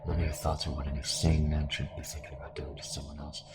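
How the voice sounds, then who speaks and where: A man playing a calm, sinister cult fanatic fused with a devouring entity, taunting in measured monologue in an audio drama. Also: very deep voice, vocal fry. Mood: taunting, smug. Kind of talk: dramatic audio roleplay acting. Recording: music under the voice.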